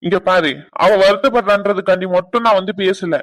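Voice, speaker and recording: A woman voicing a grandmother who gently coaxes and questions her granddaughter. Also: medium pitch, brisk, voice pushed down, lightly acted. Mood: reproachful. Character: soft probing grandmother.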